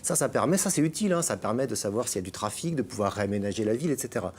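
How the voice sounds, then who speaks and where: A man's voice: fairly low pitch, expressive, very fast, a little mellow, a little hiss or hum. A middle-aged man from France, talking as a guest columnist in a radio chat, hesitant with many fillers and restarts. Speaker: guest columnist on digital topics.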